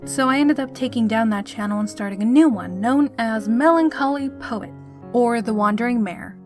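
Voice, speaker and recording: A woman's voice: on the high side, moderate pace. A woman hosting a fan fiction channel, chatting informally and sincerely to her listeners. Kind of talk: informal scripted outro to listeners. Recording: clear guitar music behind the voice. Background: plucked guitar music.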